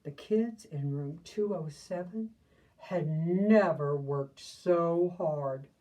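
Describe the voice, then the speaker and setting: Brief: unhurried woman storyteller, mellow voice, chatty asides to kids, mic a bit far off. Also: on the low side. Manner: slow, gentle, asides to young listeners. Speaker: storybook reader. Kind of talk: reading a picture book aloud to children.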